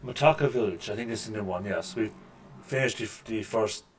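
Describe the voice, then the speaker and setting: A man with a deep voice hosting a casual let's-play, making wry, joking remarks over the gameplay at a desk microphone. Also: a little fast, a little hiss or hum. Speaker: gaming channel host. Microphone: desk microphone with mixer.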